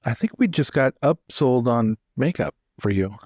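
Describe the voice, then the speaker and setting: A man's voice: medium pitch, expressive, moderate pace, a little mellow, no echo, voice pushed down, natural voice. A man playing an easygoing, dry-witted professor who travels with a lord in a tabletop game. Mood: dry, wry.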